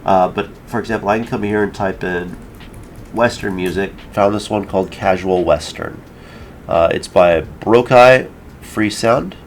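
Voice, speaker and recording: A man hosting a casual software tutorial, explaining each step in an informal way. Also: fairly low pitch, measured pace, a little hiss or hum. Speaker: tutorial host. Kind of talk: casual screen-recorded software tutorial narration.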